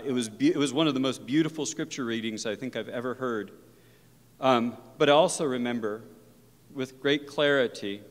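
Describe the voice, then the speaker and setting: A male professor of religion giving an earnest, reflective university lecture that mixes in personal stories. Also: mid-range voice. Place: lecture hall. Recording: long reverb of a lecture hall.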